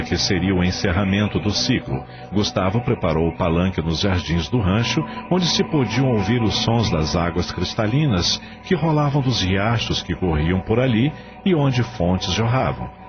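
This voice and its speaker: A man's voice: on the low side, big pitch swings, moderate pace, hardly any pauses, dull audio. A Brazilian man narrating a radio novel in a dramatic scripted style. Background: music.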